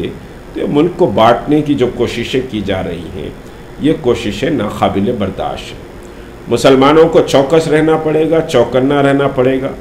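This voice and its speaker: A man gives an unscripted political statement to the press, speaking deliberately and haltingly with frequent pauses. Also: on the low side. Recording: roomy echo, faint background noise.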